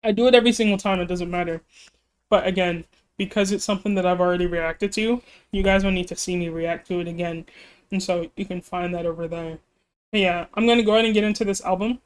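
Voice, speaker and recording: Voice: mid-range voice, steady tone, fast, clear, resonant tone. Chatty reaction YouTuber with a strained voice. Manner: rambling, chatty, laughs often; self-deprecating, apologetic. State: slightly losing voice, cracking. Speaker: music reaction YouTuber and streamer. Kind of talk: casual reaction video chat.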